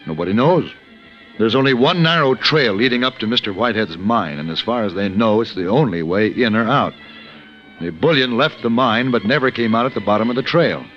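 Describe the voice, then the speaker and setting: A man acting a refined, urbane gunfighter in a 1950s radio western, speaking in a dry, measured, articulate way. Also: lowish voice, lively intonation, dull audio, lightly acted. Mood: wry, composed. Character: refined, urbane adult male gunfighter of the Old West. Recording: band-limited vintage radio recording with music.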